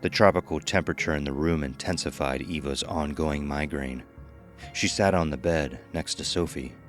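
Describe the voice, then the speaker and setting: Ominous male storyteller actor, extremely low register, narrating audio horror over faint music. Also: moderate pace.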